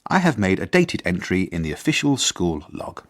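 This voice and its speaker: A man's voice: low-pitched, very animated, normal speed, very noisy. A man playing an earnest, formal, mild-mannered head teacher, narrating letters and journal entries in a radio drama. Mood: formal persistence.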